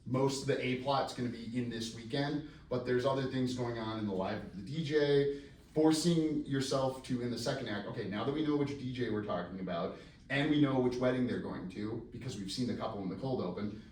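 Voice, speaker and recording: A man's voice: mid-range voice, brisk, darkish tone. A man speaking as a screenwriter, casually answering audience questions in a rambling, self-deprecating, joking way. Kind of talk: casual live question-and-answer at a script reading. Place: live event room before an audience. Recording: echoey room, distant mic, faint noise.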